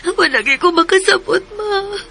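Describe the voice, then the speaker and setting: A woman's voice: very high voice, normal speed, pushed and bright, a little hiss or hum, full character voice. An actress voicing a troubled young married woman haunted by a child's cry, pleading and tearful in a radio drama.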